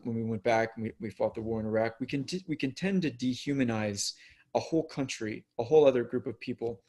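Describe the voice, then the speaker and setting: A man's voice: medium pitch, darkish tone. A man from the United States, a guest on a podcast, speaking earnestly in casual conversation and thinking aloud with restarts.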